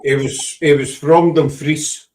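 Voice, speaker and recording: A man from Scotland with a Scottish accent, chatting casually in a halting way, often repeating words, with a dry sense of humor. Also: a little high, fairly even intonation, a little mellow, recorded from a distance. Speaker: paranormal investigator. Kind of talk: casual chat.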